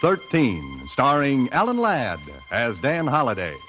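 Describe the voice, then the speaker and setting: A male American announcer introduces a 1940s radio show. His delivery is unhurried and extremely animated, over loud music on a vintage recording. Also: medium pitch.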